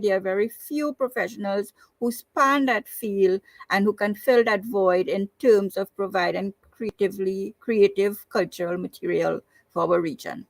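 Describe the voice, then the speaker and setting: Woman speaking haltingly in a dry room. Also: mid-range voice, no room sound. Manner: halting, repeats words while searching for phrasing. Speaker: departing speaker.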